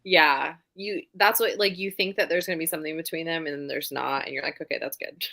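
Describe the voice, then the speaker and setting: A woman's voice: sits low, fairly even intonation, a little fast, pushed and bright. A woman talking informally and conversationally as a host of a book readalong live show. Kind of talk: casual chat.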